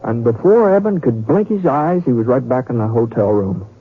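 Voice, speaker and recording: A man playing a folksy Western storyteller narrating an old-time radio drama in a halting, conversational way. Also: mid-range voice, expressive, husky. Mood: folksy reminiscing. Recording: muffled vintage radio, faint music.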